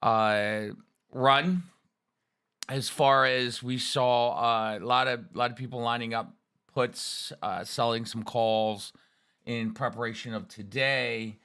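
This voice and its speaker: Raspy man with a cold, bright voice. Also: mid-range voice, slow. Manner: energetic, folksy, lots of fillers. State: hoarse from a cold. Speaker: trading show guest. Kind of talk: live market commentary chat.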